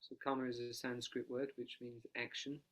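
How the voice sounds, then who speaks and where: Soft-spoken monk guiding a meditation. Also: male voice, medium pitch, flat delivery. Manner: soft-spoken, quiet, unhurried. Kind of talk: guided analytical meditation. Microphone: no dedicated microphone.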